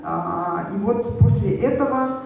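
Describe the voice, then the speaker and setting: A woman in her fifties from Yakutia giving an earnest, halting speech at a public forum, with many fillers. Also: medium pitch, gravelly, a little mellow. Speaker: head of a language movement. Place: large conference hall. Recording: echoey hall, far from the mic, faint background hiss.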